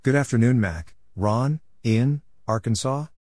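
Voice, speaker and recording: A man reading scripted short exchanges as a practice narrator, deliberately spelling out letters and numbers one at a time. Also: mid-range voice, a little mellow, no room sound. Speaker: narrator of Morse code practice.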